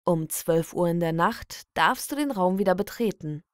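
A woman reading a scripted creepy ritual guide as a horror narrator, in a measured, deliberate, instructional manner. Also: lowish voice, animated, dry recording. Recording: dry studio voice over background music. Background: background music.

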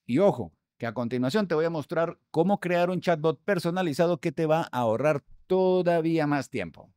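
A man, hosting a tutorial in an upbeat, conversational way with a few hesitations, recorded on a studio mic on a boom arm. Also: lively intonation, moderate pace, a little mellow, clear, resonant tone, no room sound. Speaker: host. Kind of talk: tutorial explaining restaurant software. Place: studio room.

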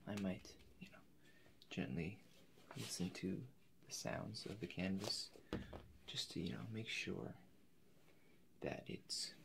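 A young man with a very low, creaky voice plays an eccentric painter in an ASMR roleplay. He speaks softly and haltingly, sometimes dropping to a whisper. There is clear noise behind him. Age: 20s. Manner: hesitant, halting, slipping into whispers. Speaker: ASMR performer. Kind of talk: soft-spoken ASMR roleplay. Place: indoors in front of a forest backdrop.

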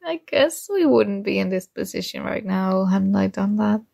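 A woman's voice: mid-range voice, animated, moderate pace, gravelly, warm tone, dry recording, studio quality. A woman playing a shy, nerdy young classmate in a romantic ASMR roleplay, flustered and smitten, speaking in a hesitant, awkward way. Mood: tender, sincere.

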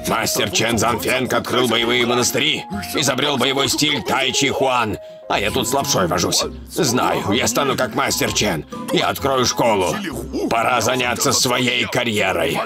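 Man as a boastful big cartoon tiger, loud music. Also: medium pitch, a little slow, close to an everyday voice. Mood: dreamy, determined. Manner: boastful, grandly declaring. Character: big brash male tiger dreaming of martial-arts glory. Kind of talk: dubbed children's cartoon acting.

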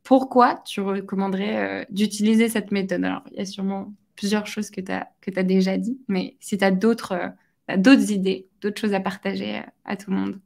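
A young woman hosts an interview over a video call. Her voice has a gravelly fry and stays flat in pitch. She asks her questions casually, hesitating and restarting phrases often. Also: moderate pace. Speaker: interview host.

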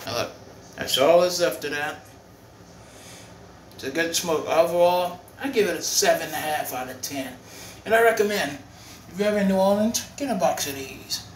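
A man's voice: fairly high pitch, moderate pace. A man doing a casual home review vlog, rambling and restarting his phrases as he films on a handheld phone. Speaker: cigar vlogger. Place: home room with bare walls. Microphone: phone held while filming. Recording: echoey room, faint room noise.

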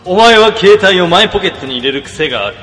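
A slightly high voice of a cunning office rival, played by a man who plots in intense, overdramatic inner monologue. It sits in a long reverb with music under it, like a comedy radio drama. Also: lively intonation, fast talker, fairly bright tone. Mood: scheming.

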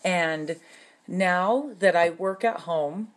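A woman in her thirties explaining meal prep in a casual teaching talk from her kitchen, often checking in with "okay?" and filling pauses with "um". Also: lowish voice. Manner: chatty, frequent "okay?" check-ins and "um" fillers. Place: home kitchen, indoors.

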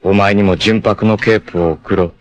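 An actor playing a fierce, proud martial artist in an anime, with an intense, theatrical delivery. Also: male voice, fairly low pitch, fairly even intonation, dull audio, natural voice. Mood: brooding, cool. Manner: intense, theatrical, from cool measured speech to anguished shouts. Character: fierce, proud martial artist hunting his sister's abductor. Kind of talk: dramatic anime acting.

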